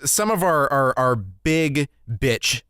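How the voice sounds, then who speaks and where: A man co-hosts a comedy podcast in irreverent banter. His voice sits at a middling pitch, with a dry, close recording. He delivers profane jokes with mock earnestness. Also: lively intonation, slow, a bit bright, smooth, no echo. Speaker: podcast co-host.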